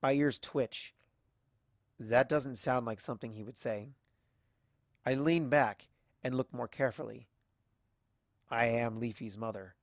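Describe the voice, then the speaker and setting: Male amateur audiobook narrator, medium pitch, dramatic scripted storytelling, very dry sound. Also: no room sound.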